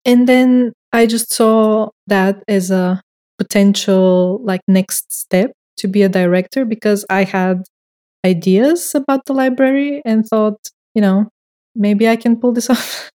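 A woman's voice: mid-range voice, fairly even intonation, measured pace, warm tone. A young woman with a Bulgarian accent, a non-native English speaker, chatting in a podcast interview with hesitant, thoughtful phrasing and small laughs. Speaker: director of records and research.

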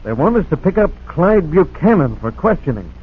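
An actor playing a brisk, plain-spoken small-town police captain in a 1940s radio drama. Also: male voice, fairly high pitch, sing-song, husky, full character voice. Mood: businesslike, puzzled. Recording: tinny, narrow-band vintage radio recording.

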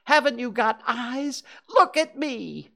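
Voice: mid-range voice, big pitch swings, extremely slow, gravelly, sharp tone, voice pushed up, full character voice. A storybook reader voicing a small, anxious, indignant male piglet with a jerky, protesting, sputtering delivery. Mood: shouting, panicked. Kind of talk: children's storybook character voice.

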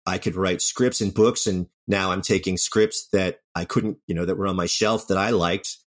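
Deep-voiced man in casual interview. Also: steady tone, moderate pace. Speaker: writer and director, podcast guest.

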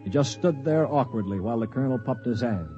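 A man's voice: medium pitch, expressive, quick pace, mellow, dull audio, soft background music. A man narrating and playing the wry, commanding lead of a 1950s science fiction radio drama. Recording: noisy 1950s radio broadcast.

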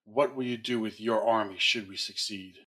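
A disapproving male Jedi council master in an audio drama. His voice is hard-edged and rough, a little low, and he speaks with formal distrust. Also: fairly even intonation, moderate pace, fairly bright tone. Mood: suspicious.

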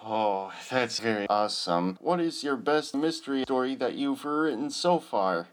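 A man's voice: mid-range voice, expressive, unhurried. A man hosting a podcast, asking polite questions and reading scripted narration. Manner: polite, addresses guest as sir. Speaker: podcast host and interviewer.

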